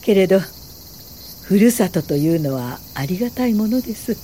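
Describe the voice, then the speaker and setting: A woman's voice: medium pitch, animated, dark tone, a little hiss or hum, lightly acted. An actress playing a thoughtful woman in her late fifties, a welfare official, in a radio drama, speaking politely and reflectively. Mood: courteous. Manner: polite, measured, reflective storytelling. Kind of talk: radio drama narration and dialogue.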